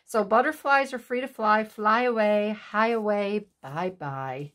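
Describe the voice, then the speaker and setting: A woman in her sixties chatting at home while she makes a collage at her craft table, with a breathy, sniffly voice, laughing asides and remarks to herself. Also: mid-range voice, a little slow, fairly bright tone. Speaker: craft channel host. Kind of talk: casual chat while making a collage. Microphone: overhead camera on the desk, hands only in frame.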